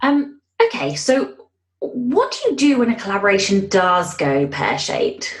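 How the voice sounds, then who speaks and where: A woman's voice: mid-range voice, wildly swooping pitch, measured pace. A woman interviewing a guest in a conversational podcast style. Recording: echoey room sound.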